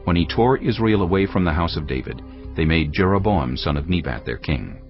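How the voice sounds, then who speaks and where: Very deep male scripture narrator. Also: lively intonation, fairly quick. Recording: dull audio, music underneath.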